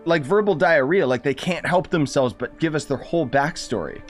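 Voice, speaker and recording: A man's voice: on the high side, normal speed. A man giving casual, sarcastic playthrough commentary as a gaming streamer, with a mocking edge. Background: faint game music.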